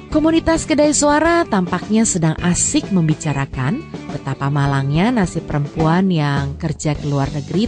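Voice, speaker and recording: A woman narrating a scripted radio drama, introducing it to listeners. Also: on the low side. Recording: music behind.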